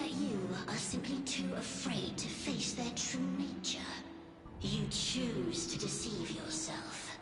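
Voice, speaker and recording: A woman acts out a cold, manipulative video game villainess. She speaks very slowly and deliberately in a commanding, condescending way, her voice echoing over heavy music. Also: mid-range voice, lightly acted. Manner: slow, deliberate, imperious delivery. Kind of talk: dramatic video game voice acting.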